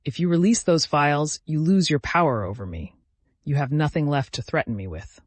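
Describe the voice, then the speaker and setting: An earnest woman lawyer as a male narrator reads her, remorseful yet defiant. She reasons and pleads firmly in an audiobook drama, slightly high for a male voice and recorded very dry. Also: brisk, dry recording, close to an everyday voice. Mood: wary challenge. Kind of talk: dramatic audiobook character reading.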